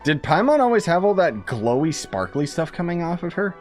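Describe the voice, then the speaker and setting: A young male streamer with a fairly high voice. He comments on his playthrough in a casual, conversational way, joking and freely giving opinions. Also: very animated, a little fast, darkish tone, soft background music. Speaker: gaming streamer.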